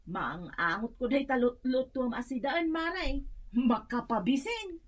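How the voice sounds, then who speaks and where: A woman's voice: sits high, animated, a little slow, no echo. An actress playing a poor, long-suffering village wife and mother in an Ilocano radio comedy drama, plaintive and sighing yet fond. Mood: plaintive, envious.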